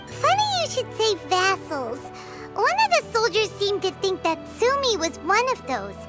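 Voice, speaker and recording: A woman voicing a tiny, childlike girl companion in a video game, earnest and excitable with a squeaky voice. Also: a little slow, over loud music, heavily acted. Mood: matter-of-fact. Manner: refers to herself in third person. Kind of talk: video game character acting.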